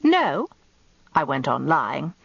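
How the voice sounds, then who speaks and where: British female narrator, older, prim and precise. Lively intonation, close dry sound, radio drama storytelling. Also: lowish voice, normal speed, dry recording, highs cut off. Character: proper, tart older English barrister's wife recounting events. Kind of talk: first-person dramatic narration, confiding storytelling.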